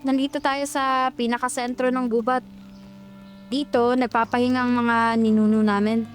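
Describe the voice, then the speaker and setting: A woman playing an eerie young village woman guiding visitors in an audio horror drama, calm, cryptic and coldly insistent. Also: fairly high pitch, moderate pace, lightly acted.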